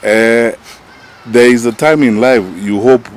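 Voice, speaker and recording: A man's voice: mid-range voice, takes it slowly, clean tone. A man with a Kenyan accent speaking off the cuff as a party official at a press conference, emphatic and indignant, often putting rhetorical questions.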